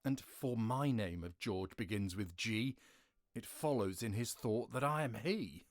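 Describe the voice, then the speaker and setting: A man's voice: on the low side, animated, unhurried, no room sound, clean studio sound. Earnest captive nobleman, widely swooping. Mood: aggrieved, resigned. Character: wronged, trusting nobleman under arrest, king's brother. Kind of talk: classical Shakespearean audio theatre.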